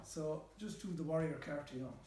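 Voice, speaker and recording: West of Ireland man, high-pitched, roomy sound. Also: fairly quick, warm tone, a little hiss or hum. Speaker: storyteller guiding a literary walking tour. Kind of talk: informal guided-tour talk with readings aloud.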